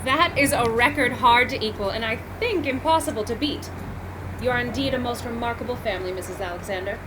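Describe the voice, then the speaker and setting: A woman's voice: on the high side, fairly quick, natural voice. A woman playing a gracious 1940s radio interviewer in a formal announcer manner. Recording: noisy live event recording.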